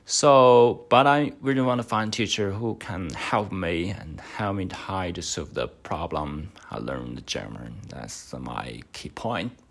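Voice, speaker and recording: Young guy, really low voice, slow and halting, lots of uh and um. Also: gravelly. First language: Chinese. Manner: halting, hesitant speech with many fillers. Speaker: podcast host. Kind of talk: mock speaking exam answers.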